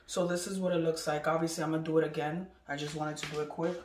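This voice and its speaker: A woman's voice: deep voice, level tone, fast talker, a loud crowd. A woman in her thirties chatting casually to a phone camera at arm's length, rambling with lots of fillers. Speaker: vlogger. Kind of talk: casual vlog chat. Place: bedroom, then a moving car. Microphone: handheld phone camera at arm's length.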